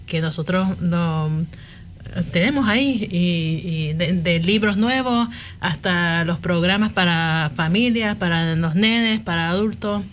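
Guest: woman from Miami, mixed Puerto Rican-Mexican accent, slow and halting, faint hiss behind. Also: on the low side. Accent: mixed Puerto Rican and Mexican Spanish. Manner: halting, many fillers and restarts. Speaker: library supervisor, guest. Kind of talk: radio interview.

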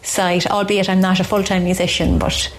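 A woman's voice: on the low side, level tone, moderate pace. An Irish woman, a teacher and singer, chatting as a guest in a radio interview, rambling and laughing easily. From Ireland.

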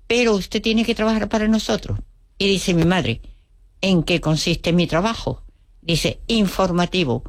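Older woman, low voice, Andalusian-accented Spanish, Russian native, lively storyteller, dry close sound. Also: measured pace, no room sound. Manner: vivid storyteller, acts out quotes, wry humor. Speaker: interview guest. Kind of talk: storytelling reminiscence.

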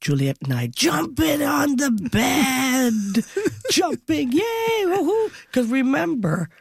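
A woman's voice: sits high, takes it slowly, vocal fry, no echo, studio quality. A middle-aged woman from Montreal with a Jamaican accent, telling stories as an interview guest and acting out what people said. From Montreal, Canada. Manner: lively storyteller, acts out quotes, self-corrects names. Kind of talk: casual storytelling interview.

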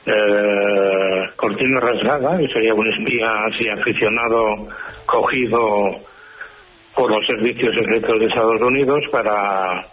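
A man from Spain with a Spanish accent, calling a radio show on a dull phone line and chatting casually, haltingly, often repeating words. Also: on the low side, steady tone, a little hiss or hum. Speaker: phone-in caller. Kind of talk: casual film chat.

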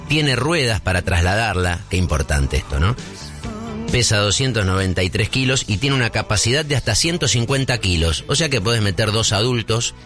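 A man in his forties with a Buenos Aires accent, delivering a casual, rambling comic monologue as if thinking out loud. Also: lowish voice, animated, moderate pace, soft background music. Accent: Rioplatense Spanish, Buenos Aires. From Buenos Aires, Argentina. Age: 40s. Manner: rambling, conversational, seeks agreement with tag questions.

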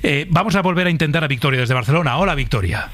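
Animated higher-pitched Spanish male radio host. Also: very fast, no echo. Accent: Spain. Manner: playful, bantering. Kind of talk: lively casual phone-in chat.

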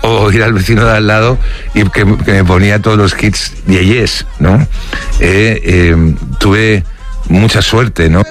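A man's voice: on the low side, fairly even intonation, unhurried, faint music behind. A man from Spain in his sixties, telling childhood memories in a casual, hesitant way with many restarts and fillers. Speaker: interviewee. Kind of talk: casual reminiscing.

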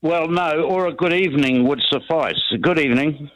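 A man with a New Zealand accent, a regular caller chatting casually on a phone-in, halting and dryly blunt. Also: fairly high pitch, fairly bright tone, no room sound, low-quality recording.

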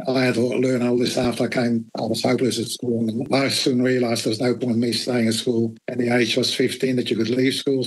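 An elderly man in his eighties recounts his life in a matter-of-fact, blunt way, adding dry little asides. His voice sits at an ordinary pitch for a man and has a slightly husky, breathy edge. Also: rough recording. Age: 80s. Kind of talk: spoken life story in an interview.

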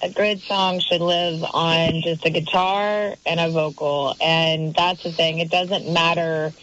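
A woman's voice: sits low, level tone, slow pace, fairly bright tone. A female singer calling in by phone, chatty and upbeat, laughing easily. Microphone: phone line. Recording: dull phone line.

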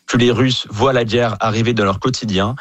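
A man's voice: medium pitch, steady tone, normal speed, no echo, highs cut off. A man with an accent from France, giving analytical answers as an expert guest. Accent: French from France. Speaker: geopolitics professor, expert guest.

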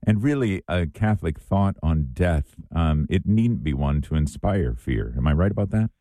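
A middle-aged male host with a hoarse, raspy voice that sits extremely low and mellow. He speaks in an earnest, reflective way on a spiritual talk show. The sound is dry and close. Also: animated, moderate pace, no room sound.

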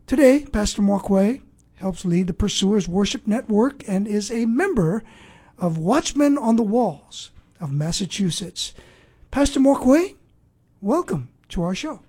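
A woman hosting an interview who asks gentle, probing questions. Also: mid-range voice, expressive, measured pace, slightly rough. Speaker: host.

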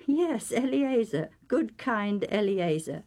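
A woman's voice: medium pitch, sing-song, slow pace, darkish tone, voice pushed up. A woman storyteller voicing a doting, shrewd mother with a fond, chatty, persuasive way of talking. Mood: bright, assured. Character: doting, shrewd mother of grown twin sons.

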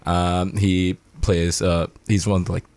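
A man's voice: low voice, flat delivery, slow pace, a little mellow. A Sri Lankan-born man in a band, chatting casually on a radio show, rambling, swearing and laughing as he talks. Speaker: band member guest. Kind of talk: casual radio interview chat.

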